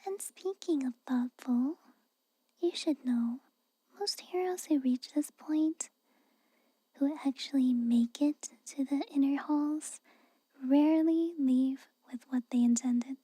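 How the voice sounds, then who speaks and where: A woman playing a haughty, guarded but secretly caring young castle maid in a soft, breathy, whispered ASMR roleplay, hesitant and chiding. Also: sits high, slow, no room sound. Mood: defensive, cautioning. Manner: hesitant, reluctant, aloof and chiding.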